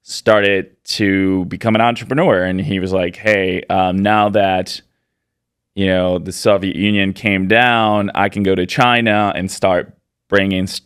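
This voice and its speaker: A man in his thirties, raised in Poland, answering interview questions in a relaxed, rambling way with lots of fillers. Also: low-pitched, a little slow, smooth, dry recording, studio quality. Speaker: podcast guest, business owner.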